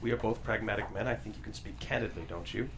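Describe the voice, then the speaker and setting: Actor as blunt businessman, gravelly, lowish voice. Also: quick pace, fairly bright tone, a little hiss or hum. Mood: pressing, low-key. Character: blunt, pressing businessman, wary and insistent. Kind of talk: table read acting.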